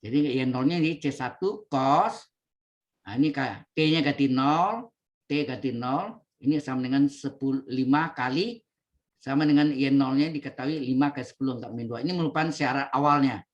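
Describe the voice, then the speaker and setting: A man's voice: mid-range voice, normal speed, muffled recording. A male lecturer, walking students through a calculus problem on a video call, repeating each step and often checking in with them. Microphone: computer mic on video call.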